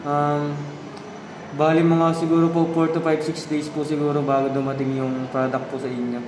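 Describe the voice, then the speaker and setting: A man's voice: on the high side, little pitch movement, moderate pace, close to an everyday voice. A young man playing a polite, sly online scammer posing as shop staff in an amateur student film. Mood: polite, businesslike. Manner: deferential, courteous phrasing. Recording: very echoey hall, distant noisy mic.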